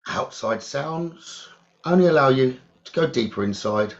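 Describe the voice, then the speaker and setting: A man leading a guided meditation, speaking slowly and soothingly, repeating his gentle instructions with long pauses. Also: mid-range voice, expressive, vocal fry. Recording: dull lo-fi recording.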